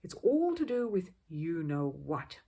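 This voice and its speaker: A woman reader acts a knowing storybook woman of the house. She is disapproving and confiding, with a very husky voice and pointed, animated intonation. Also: on the low side, takes it slowly, exaggerated voice. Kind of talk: children's storybook character voice.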